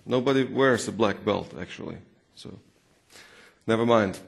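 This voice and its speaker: A man's voice: on the low side, moderate pace, vocal fry. A man from Croatia with a Croatian accent presents live to a technical audience, informally, often posing questions and repeating words for emphasis. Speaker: conference presenter, software consultant and trainer.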